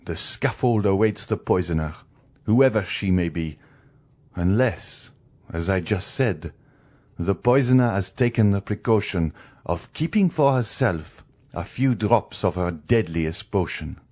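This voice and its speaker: A man's voice: low voice, expressive, measured pace, a little mellow. A man playing a stern, merciless magistrate condemning his wife in a cold, commanding, oratorical way in a dramatic story reading. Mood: stern, accusing.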